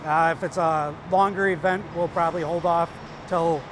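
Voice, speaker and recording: A man's voice: on the high side, steady tone, normal speed. An American man giving unscripted answers in a news interview as a road official. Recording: clear outdoor vehicle noise behind.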